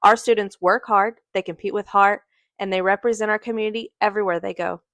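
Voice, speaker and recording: A woman speaks as a local official in a scripted promotional message. Her pitch is in the middle range for a woman. The recording is dry, with hardly any room sound. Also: steady tone, fast, a bit bright, no echo.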